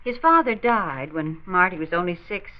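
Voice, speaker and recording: A woman's voice: lowish voice, expressive, normal speed, lo-fi sound, close to an everyday voice. An actress playing an earnest, protective widowed mother in a 1940s radio drama, speaking plainly on an old recording. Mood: earnest, pleading.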